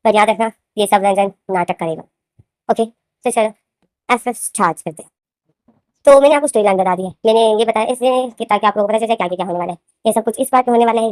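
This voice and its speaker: Fast-talking woman with a sore throat. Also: mid-range voice, darkish tone, dry recording. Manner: rambling, repeats names and phrases, addresses viewers as guys. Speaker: fanfiction author and narrator. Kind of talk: casual spoken retelling of her own story.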